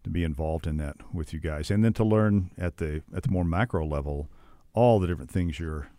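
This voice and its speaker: Very deep-voiced grateful man. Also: no room sound. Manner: warm, grateful, unhurried. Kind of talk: conversational remarks.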